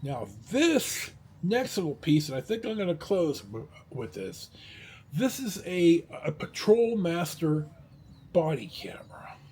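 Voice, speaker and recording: A man talking casually in a rambling, halting vlog style, blunt and a little salty, with a husky, rough-edged voice. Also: on the high side, very animated, darkish tone. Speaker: vlogger.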